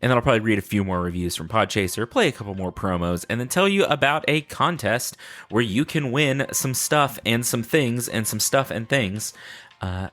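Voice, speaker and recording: A man's voice: medium pitch, lively intonation, normal speed, a bit bright. A man hosting a podcast solo in a casual, unscripted way, chatting playfully and rambling with self-deprecating humor. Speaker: podcast host.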